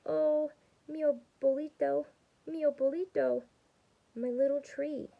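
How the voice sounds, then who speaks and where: Woman voicing a worried little girl, high and plaintive. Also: steady tone, takes it slowly, vocal fry, narrow, tinny audio, exaggerated voice. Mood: sorrowful. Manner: plaintive, questioning.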